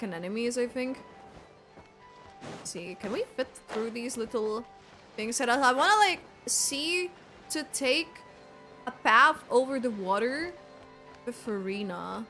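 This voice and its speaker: A woman's voice: on the high side, animated, takes it slowly, long pauses, bright, forceful tone, faint music behind. A woman doing casual livestream game commentary, chatting to viewers and thinking aloud as she plays. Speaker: game streamer.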